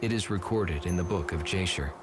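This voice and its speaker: A man narrating scripture from a script in a measured, solemn manner. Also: low-pitched. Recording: clearly audible background noise and ambience.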